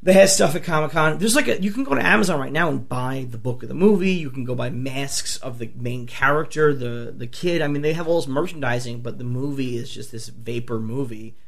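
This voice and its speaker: A man with a gravelly, croaky voice, worn hoarse from a flu, holds forth on films in an excitable, profane rush. He stumbles through restarts and fillers and jumps back in with energy. His pitch sits in an ordinary middle range. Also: a little fast, few pauses. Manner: excitable, swears often, many restarts. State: a few bourbons in. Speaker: podcast host. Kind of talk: casual movie talk.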